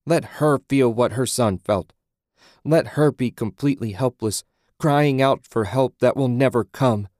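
A man voicing a furious, grieving woman in an audiobook, vengeful, forceful and profane as the character confronts someone. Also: fairly low pitch, a little slow, dry recording, studio quality. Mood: furious, scornful.

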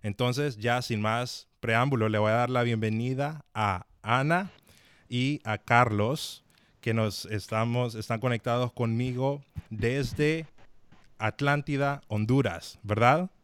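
A man's voice: mid-range voice, slow pace, smooth, no room sound. A man hosting a live-streamed interview, talking with many fillers and restarts. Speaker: host.

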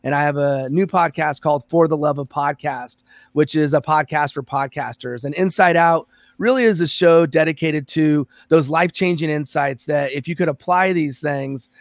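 A man's voice: a little high, moderate pace, no echo. Guy from american samoa raised in LA, earnest chatty guest, dry close mic. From born in American Samoa, raised in Los Angeles. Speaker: podcast guest. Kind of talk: conversational interview.

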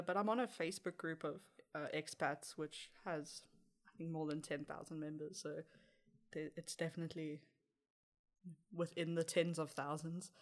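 Chatty young South African woman. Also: deep voice. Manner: chatty, frequent light laughs. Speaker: interview guest. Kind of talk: casual interview answers.

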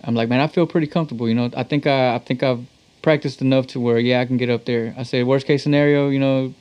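A man's voice: mid-range voice, steady tone, quick pace, clear, resonant tone, highs cut off. Male musician from Texas, interview guest. Casual storyteller, loose slang and swearing. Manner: slangy, profane, conversational. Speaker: musician guest, talk box player.

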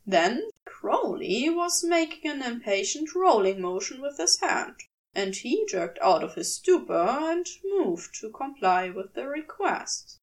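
A woman reading fiction aloud as an audiobook narrator, in an expressive scripted storytelling style. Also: high-pitched, slow, distant mic, low-quality recording.

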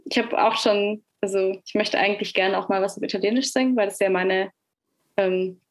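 A woman's voice: medium pitch, steady tone, normal speed. A young woman from Germany with a Franconian German accent, talking casually as a musician guest on a podcast, with lots of fillers and easy laughs. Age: young adult. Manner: chatty, frequent fillers, laughs easily. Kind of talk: casual interview chat.

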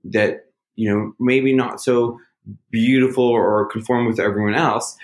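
A man's voice: mid-range voice, steady tone, unhurried. A man in casual conversation, talking through his feelings in a rambling, self-searching way with lots of fillers. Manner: loud at times. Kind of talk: casual reflective chat.

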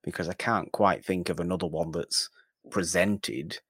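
Man, low voice, casual movie chat, podcast co-host, emphatic repeated phrasing, very dry sound. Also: fairly even intonation, normal speed, a bit bright, breathy edge, dry recording.

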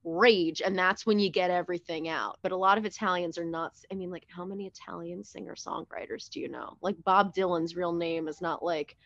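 A young woman talking as a guest on a podcast, her voice pitched a little low and recorded dry and close with a somewhat dull, lo-fi tone. She chats in an animated, profane way, with quick jokes and a lot of "like.". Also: no echo. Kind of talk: casual chat.

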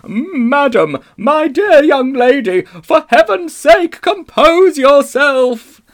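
A man voicing a fussy, cowardly old gentleman in a storybook, trembling, plaintive and self-pitying. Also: extremely high pitch, expressive, slow pace, pitched above the performer's own voice, full character voice. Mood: panicked pleading.

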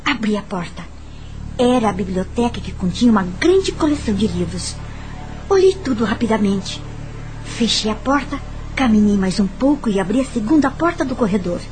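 An actress with a Brazilian accent playing an anxious, curious young governess in a dramatic radio drama, narrating in the first person. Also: female voice, medium pitch, very animated, moderate pace, a little mellow, soft background music, close to an everyday voice, poor audio. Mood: suspenseful wonder.

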